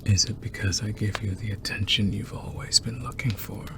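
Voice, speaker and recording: A man playing a possessive, seductive and menacing werewolf lover in an intimate ASMR roleplay, teasing in a breathy, gravelly murmur. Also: lowish voice, level tone, moderate pace, natural voice. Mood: teasing, predatory.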